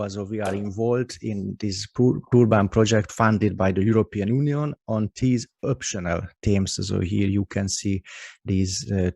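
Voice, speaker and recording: A man with a Hungarian accent giving a webinar presentation over a video call, halting and full of "uh" and "also" fillers. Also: on the low side, warm tone, no echo. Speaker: guest expert presenter. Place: office with company logo behind him.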